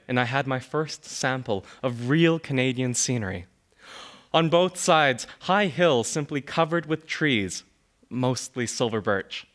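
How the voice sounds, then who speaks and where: A man giving a dramatic reading of an old letter, in a formal, measured storytelling manner. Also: medium pitch, fairly bright tone. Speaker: reader of an archival letter.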